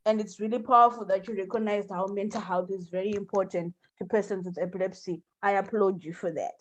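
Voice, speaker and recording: A woman's voice: medium pitch, fairly even intonation, brisk. A woman from Zimbabwe, a mental health and epilepsy advocate, speaking passionately on a panel call. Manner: passionate, emphatic. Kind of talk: panel discussion on video call. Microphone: video call. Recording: dull video call audio.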